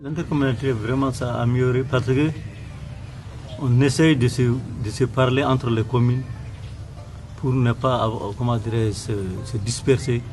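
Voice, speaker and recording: A man's voice: medium pitch, fairly even intonation, moderate pace, darkish tone, faint music behind. A plain-spoken man with a West African accent, giving a conversational answer in a documentary interview.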